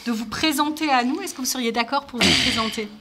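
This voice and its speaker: A woman's voice: a little high, fast talker, very noisy. A woman hosting a live game event as commentator, mixing mock-sportscaster enthusiasm with frequent hesitant fillers, from a swimming pool at night.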